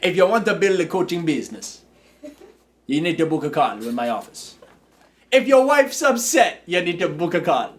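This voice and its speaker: A man faking a Jamaican sing-song pitchman. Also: sing-song, moderate pace. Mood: playful. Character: man faking a Jamaican patois sales jingle.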